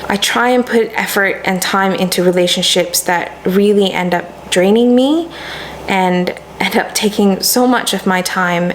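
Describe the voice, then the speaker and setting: A woman's voice: fairly low pitch, a little hiss or hum, poor audio. A young woman from Malaysia whose first language is Malay, talking in an earnest, reflective personal vlog as a YouTuber. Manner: earnest, thoughtful, with soft fillers.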